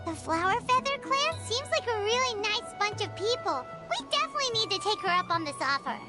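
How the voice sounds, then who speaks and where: A woman voicing a tiny, excitable, childlike fairy companion in an anime game, exclaiming and talking about herself in the third person. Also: extremely high pitch, brisk. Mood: flattered, eager. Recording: loud background music.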